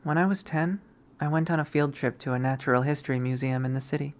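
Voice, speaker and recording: A person reading a visual novel aloud as a dramatic, expressive narration, acting out the story. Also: medium pitch, fast. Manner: expressive, acts out the characters.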